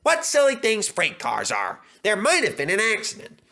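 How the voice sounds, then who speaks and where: A man's voice: high voice, normal speed, voice pushed up, full character voice. Man doing an eager red steam engine, raised voice. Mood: confident. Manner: excited, determined.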